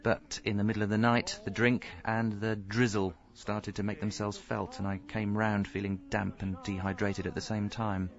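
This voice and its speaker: Actor as sad-sack middle aged bloke narrating radio comedy, deadpan, low creaky voice. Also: male voice, moderate pace, hardly any pauses, close to an everyday voice. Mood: rueful. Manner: deadpan, rueful. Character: rueful, self-pitying homeless husband narrating his misfortunes. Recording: slightly dull audio, faint music.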